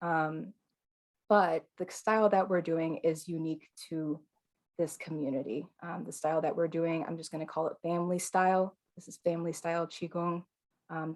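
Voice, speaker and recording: A non-binary teacher with a female-sounding voice, leading a live online qigong class in a calm, soothing, chatty way with fillers and light laughs. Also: low-pitched, moderate pace.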